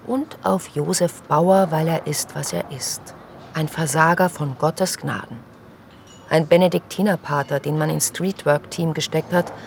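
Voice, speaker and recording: A woman's voice: deep voice, vocal fry, a little hiss or hum. A woman playing a sardonic, bitter streetworker, narrating her own story drily in a radio drama.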